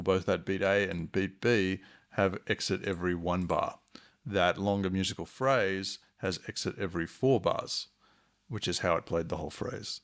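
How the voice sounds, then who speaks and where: Low-voiced man with a gravelly edge. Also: a bit bright. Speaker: software tutorial presenter. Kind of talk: explanatory screencast tutorial narration.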